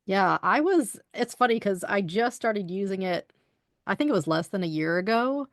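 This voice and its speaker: A woman's voice: mid-range voice, expressive, normal speed, dry recording, clean studio sound. A woman co-hosting a podcast in casual chat, easygoing, with frequent agreement and fillers. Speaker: podcast co-host.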